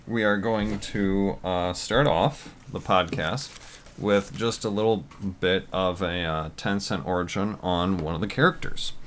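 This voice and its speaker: Loud deep-voiced man rambling on a podcast. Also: a little hiss or hum. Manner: loud, rambling with many fillers. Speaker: podcast co-host. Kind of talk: casual podcast chat.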